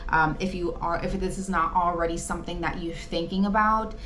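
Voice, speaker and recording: A woman's voice: lowish voice, steady tone, brisk, fairly bright tone, a little hiss or hum. A woman presenting an informal training talk, advising in an emphatic, conversational way. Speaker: presenter giving training tips to insurance agents.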